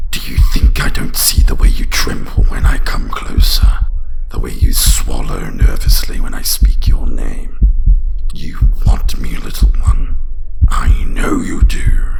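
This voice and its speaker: A man voicing an obsessive, seductive dragon lover in an intimate, breathy whispered ASMR roleplay, close to the mic. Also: a bass voice, darkish tone, full character voice. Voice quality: breathy whisper with gravelly growl. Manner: slow, intense, intimate.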